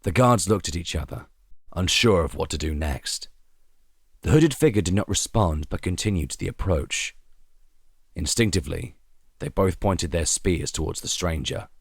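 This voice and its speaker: A male narrator reads a dramatic scripted tale. His voice is very low, and it is recorded dry, with hardly any room sound. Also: normal speed, gravelly, slightly rough, no room sound.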